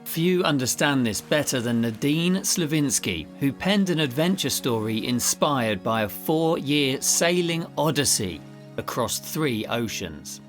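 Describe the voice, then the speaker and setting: A man presents a podcast about adventure writing in a medium-pitched voice. He shifts between scripted introductions and interview conversation, with faint music in the recording. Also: animated, a little slow. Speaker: podcast host and adventure novelist.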